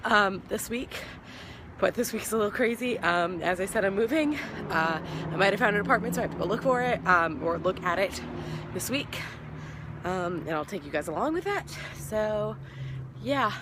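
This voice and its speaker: A young woman vlogger talking casually to camera, hoarse from losing her voice and tired. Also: medium pitch, expressive, moderate pace, noisy background. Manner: chatty, tired. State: hoarse, lost voice.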